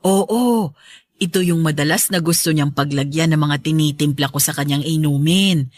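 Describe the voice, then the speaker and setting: A woman's voice: deep voice, normal speed, dry recording, clean studio sound. A woman playing a caring but firm middle-aged mother in a radio drama, with a motherly, patient manner. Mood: fond.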